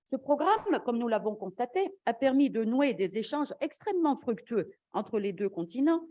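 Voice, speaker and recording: A woman academic giving a formal conference lecture in a measured, argumentative way, as an invited speaker. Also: fairly high pitch, sing-song, dark tone, dry recording.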